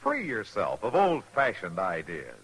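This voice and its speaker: An American man announcing a 1950s radio commercial in a polished sales pitch. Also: wildly swooping pitch, measured pace. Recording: vintage radio with loud music behind. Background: loud jingle music.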